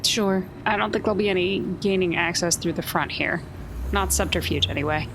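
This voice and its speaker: A woman's voice: fairly low pitch, brisk. A woman playing a tabletop game, reasoning through choices in casual hedged phrases. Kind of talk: casual play talk. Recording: noisy background hiss.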